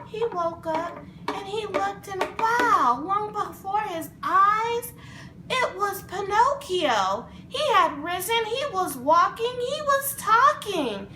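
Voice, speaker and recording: A woman telling a children's fairy tale in an expressive, dramatic, improvised way. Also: extremely high pitch, slow. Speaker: storyteller. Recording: roomy echo, faint background noise.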